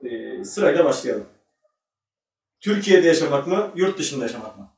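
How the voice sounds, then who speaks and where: Young man, slightly high pitch, casual hosting, distant mic. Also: very animated, moderate pace, gravelly, darkish tone. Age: young adult. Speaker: host. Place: small indoor studio set. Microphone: table microphone at a distance. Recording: roomy, voice away from the mic.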